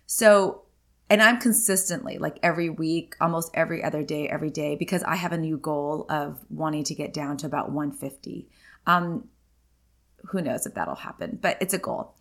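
Woman in her 40s rambling on her podcast, lowish voice, lots of ums and likes. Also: normal speed. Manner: chatty and candid, many ums and likes. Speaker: podcast host. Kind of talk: casual solo podcast chat.

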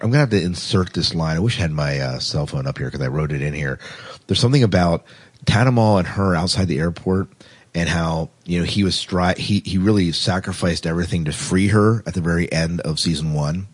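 Deep-voiced enthusiastic man, podcast co-host. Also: brisk, breathy edge, no room sound. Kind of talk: casual chat about sci-fi TV.

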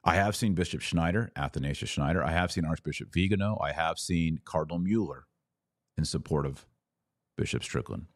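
A man with a low-pitched voice hosts a live show in a conversational, direct style. He urges his listeners along as he moves through comments and commentary. The sound is dry, with very little room in it. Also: brisk, dry recording. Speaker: podcast host. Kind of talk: casual live commentary, reading and answering viewer comments.

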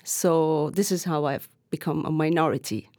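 A woman's voice: low voice, fairly even intonation, normal speed, darkish tone. A woman journalist from Turkey, bilingual with Arabic as her first language, answering interview questions thoughtfully, often restarting phrases and laughing lightly. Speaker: journalist guest. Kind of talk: podcast interview.

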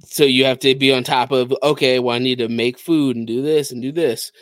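Man, average pitch, casual podcast chat, enthusiastic explainer, dry sound. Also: steady tone, a little fast, no echo. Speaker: podcast co-host. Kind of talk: casual chat about video games.

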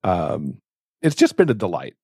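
A man's voice: low voice, huge pitch swings, measured pace, darkish tone, slightly rough, no echo, studio quality. A man chatting casually as a podcast co-host, speaking in a reflective, earnest way.

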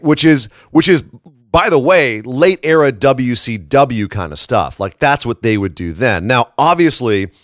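A man's voice: medium pitch, fairly bright tone, no echo. Guy podcasting with a froggy morning voice, casual but emphatic, close dry sound. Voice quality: froggy, slightly hoarse voice. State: tired froggy morning voice after a late shift. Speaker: podcast host. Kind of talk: casual wrestling commentary chat.

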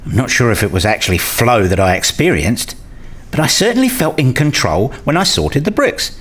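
A man's voice: medium pitch, very animated, normal speed, a bit bright, husky. A man acting in a radio play as a weary, wryly self-mocking academic father who narrates his own story. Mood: wry, hesitant. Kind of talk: first-person narration and acted dialogue.

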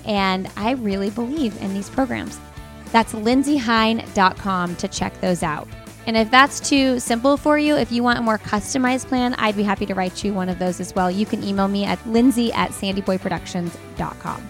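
A woman's voice: mid-range voice, normal speed, faint music behind. Chatty woman podcast host. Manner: friendly, asks questions with fillers. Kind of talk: podcast interview and ad read.

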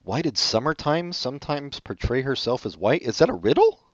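A man hosting a casual comedy chat, reading online questions aloud and answering with deadpan sarcasm. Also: moderate pace, no room sound. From California. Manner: sarcastic, deadpan jokes with sudden pitch jumps. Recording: dull, muffled lo-fi audio.

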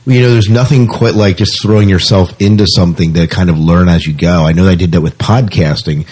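A man's voice: low voice, steady tone, a little fast, darkish tone, breathy edge. A man hosting a podcast in casual conversation, often restarting his sentences as he goes. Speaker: podcast host. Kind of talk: casual podcast interview chat.

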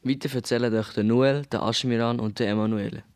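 Mid-pitched teenage male host. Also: fairly even intonation, quick pace, a little mellow, clear, resonant tone. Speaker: student host. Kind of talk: scripted radio presenting.

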